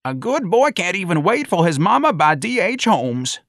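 A man's voice: sits high, big pitch swings, moderate pace, a bit bright, dry recording, studio quality, pitched above the performer's own voice, exaggerated voice. A man voicing an indignant, cranky old grandfather in an audiobook novel. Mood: grumbling.

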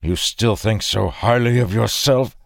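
A vengeful rebel leader, played by a male narrator, snarls with venom and harsh bitterness. His voice rises above the teller's low register in a close, dry fantasy audiobook. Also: unhurried, a little mellow, dry recording. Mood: snarling.

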